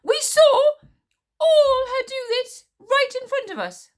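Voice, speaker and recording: A woman's voice: very high-pitched, lively intonation, slow pace, a little mellow, voice pushed up, full character voice. A woman voicing a flustered, pompous man who hunts witches, spluttering and babbling as he talks.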